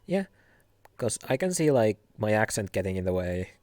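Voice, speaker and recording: A young man with a Finnish accent chatting casually on a live stream, soft-spoken and giggly, hesitating often. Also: lowish voice, big pitch swings, normal speed, dry recording. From Finland.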